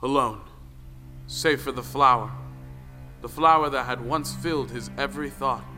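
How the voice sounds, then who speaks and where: A man narrating a folktale audio play in a dramatic storytelling style. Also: mid-range voice.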